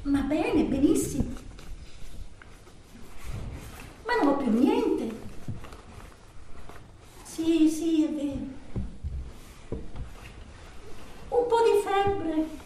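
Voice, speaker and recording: An actress playing a desperate, abandoned lover pleading on the telephone in a theatrical monologue, halting and repetitive, swinging between hushed and anguished. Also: female voice, very high voice, lively intonation, full character voice. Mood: fragile, apologetic. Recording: very echoey, distant stage recording, noisy.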